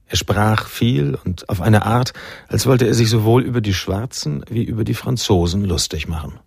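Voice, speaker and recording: An actor playing a reflective, sombre noir narrator in a radio drama, telling the story in measured, literary sentences with a gravelly voice. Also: male voice, on the low side, darkish tone, no echo, clean studio sound. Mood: sombre, ominous.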